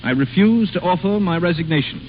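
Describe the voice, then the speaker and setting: An actor playing an earnest, apologetic hotel waiter, deferential and hesitant, in an old radio comedy. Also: male voice, sits high, lively intonation, fairly quick. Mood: stubborn. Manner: deferential, hesitant, naively stubborn. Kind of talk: 1930s radio comedy acting. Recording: old radio broadcast, muffled with clear hiss.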